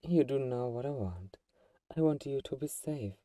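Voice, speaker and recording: A man playing a teasing, possessive vampire who is friends with a hunter, in an intimate ASMR audio roleplay with sighs and soft chuckles. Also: mid-range voice, lively intonation, moderate pace, no room sound, clean studio sound, distant mic, natural voice. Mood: protective, possessive.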